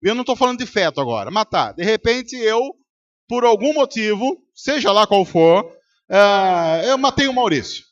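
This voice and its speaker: High-voiced Brazilian pastor preaching. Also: male voice, very animated, normal speed, lo-fi sound. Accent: Brazilian Portuguese. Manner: rhetorical, quizzes the congregation and acts out arguments. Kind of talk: sermon. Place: church service.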